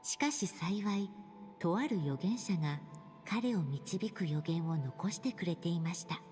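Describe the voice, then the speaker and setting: A woman voicing a fairy-tale storyteller in a game, narrating politely and gently in a measured, scripted way. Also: lowish voice, lively intonation, natural voice. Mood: gentle, measured. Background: music.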